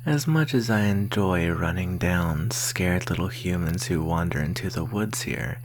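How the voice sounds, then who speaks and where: A woman playing a flirtatious, menacing vampire in a breathy, whispered ASMR roleplay, teasing and intimate up close to the mic. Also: extremely low pitch, heavily acted. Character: flirtatious, menacing thirsty vampire lurking in woods. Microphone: close microphone. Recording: faint steady hiss and hum.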